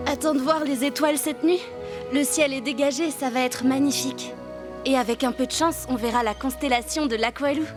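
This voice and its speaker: Young girl guide, adult actress: high, cheerful, knowledgeable, explanatory cartoon read with music behind. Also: fairly even intonation, a little fast, natural voice. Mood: fond. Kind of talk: animated cartoon dub.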